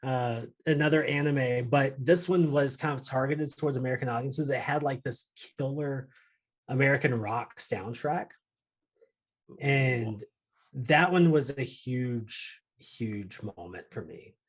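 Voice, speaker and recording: A man's voice: mid-range voice, measured pace. A man co-hosting a casual chat, talking in an easygoing, reflective way. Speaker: co-host of a gaming chat show.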